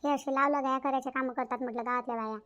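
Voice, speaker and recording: A woman's voice: sits high, fairly even intonation, rapid-fire, fairly bright tone, lo-fi sound. A woman playing a bossy, self-praising village mother in a Marathi family cartoon, chatty and emphatic, with a Varhadi accent from Vidarbha. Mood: defensive. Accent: Varhadi Marathi of Vidarbha, India.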